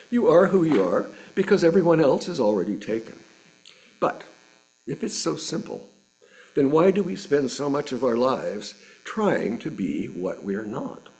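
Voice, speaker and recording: A man, middle-aged or older, reading a sermon aloud from a script with measured, deliberate phrasing and rhetorical pauses. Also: medium pitch, darkish tone, poor audio. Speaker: reader of a sermon at a church service.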